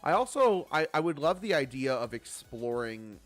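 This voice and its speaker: A man in his late twenties talks casually to a livestream while he games. His voice sits at a medium pitch, with music playing behind it. He banters playfully and swears freely. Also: sing-song, moderate pace, a bit bright. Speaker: game livestreamer.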